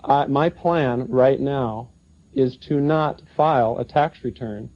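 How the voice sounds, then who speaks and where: A man with an average-pitched voice answers at a seminar. He is earnest and measured, and the audio is dull and lo-fi. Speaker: former federal agent speaking at a seminar. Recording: muffled lo-fi broadcast recording.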